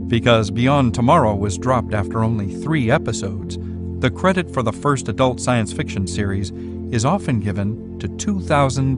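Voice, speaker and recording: A man reading scripted documentary narration. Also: medium pitch. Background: prominent music.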